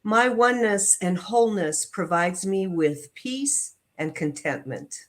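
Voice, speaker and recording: A woman reading affirmations and inspirational text aloud in a measured, deliberate way, phrase by phrase. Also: medium pitch, animated. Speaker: prayer practitioner and reader.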